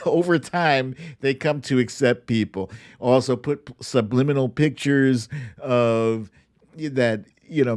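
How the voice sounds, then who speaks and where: A man hosting an online show in a playful, animated, casual style with some swearing. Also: medium pitch. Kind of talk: casual livestream chat, comic picture-book reading and political commentary.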